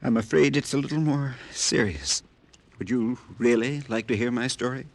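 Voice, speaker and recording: Male actor as weary sick farmer, husky voice, medium pitch, halting, polite, pleading, old radio drama. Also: lightly acted. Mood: weak, pleading. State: weak and ailing, as acted. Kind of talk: 1950s radio drama acting.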